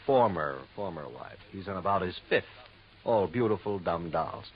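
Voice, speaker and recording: A man's voice: low voice, lively intonation, normal speed, highs cut off. An American actor playing a worried, businesslike male insurance manager, confiding and explaining in a 1950s radio drama on a noisy old recording. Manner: conversational, hesitant asides, confiding explanations.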